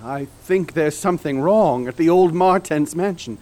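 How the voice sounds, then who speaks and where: A man's voice: on the high side, normal speed, a little mellow, close to an everyday voice. A man playing an educated investigator. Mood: formal. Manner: formal, educated. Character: earnest investigator narrating, educated. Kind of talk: radio drama narration and dialogue.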